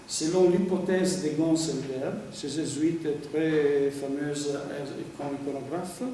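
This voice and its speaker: A man with an Italian accent, a priest who paints icons, giving a lecture and often pausing to hunt for words. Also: a little high, mellow, slight background noise. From Italy. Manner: halting, searching for words with frequent fillers. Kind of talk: lecture on sacred art. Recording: echoey hall, speaker far from mic.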